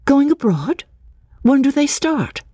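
A woman voicing an excitable, desperate young Englishwoman in an audiobook, gushing breathlessly and stammering over repeated words. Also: sits high, slow pace, no echo, studio quality, pitched above the performer's own voice, heavily acted. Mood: alert, anxious. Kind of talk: audiobook character dialogue.

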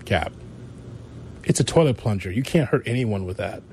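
A man's voice: fairly low pitch, lively intonation, moderate pace, darkish tone, slight background noise. A man hosting a sports radio show, joking, laughing often and prompting his co-hosts in casual banter.

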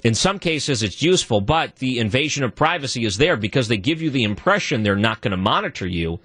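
A man with a deep voice, guest hosting a talk radio show and arguing his point alone, his voice jumping higher when he gets worked up. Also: a little fast, no room sound. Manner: animated, voice jumps high when worked up. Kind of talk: solo talk radio monologue.

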